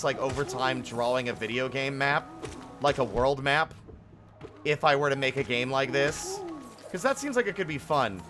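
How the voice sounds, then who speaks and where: A man giving casual live commentary as a game streamer, rambling and thinking aloud as he goes. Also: medium pitch.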